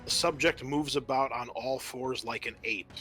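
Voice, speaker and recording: Bright-voiced man running a tabletop game. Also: mid-range voice, normal speed. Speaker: game master. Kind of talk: narrating a tabletop roleplaying game, improvised. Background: music.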